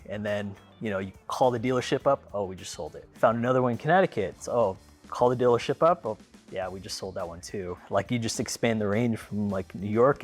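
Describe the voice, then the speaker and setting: A man in his thirties speaks in a low, easygoing voice, wandering through his story with fillers and restarts. Faint music plays quietly under his words. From Philippines, raised in Los Angeles. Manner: relaxed, rambling, frequent fillers and restarts. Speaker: car owner. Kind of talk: casual storytelling to camera.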